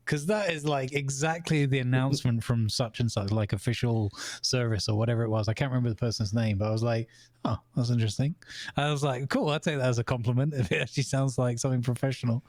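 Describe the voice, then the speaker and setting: A man co-hosting a casual podcast chat, rambling with halting restarts and frequent laughs. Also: mid-range voice, a little mellow, no echo, clean studio sound. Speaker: podcast co-host.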